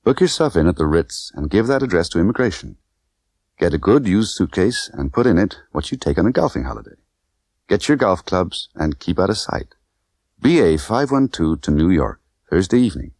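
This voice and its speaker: A man's voice: deep voice, very animated, normal speed, darkish tone, no echo, clean studio sound, heavily acted. A man voicing a tough, condescending young woman smuggler who talks in clipped, bossy slang. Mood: brisk, commanding.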